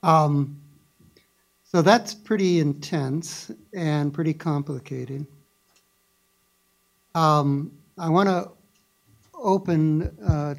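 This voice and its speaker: A man's voice: a little high, gravelly, a little mellow, poor audio. A man moderating a policy panel, summing up the talks in a hesitant way with frequent "uh".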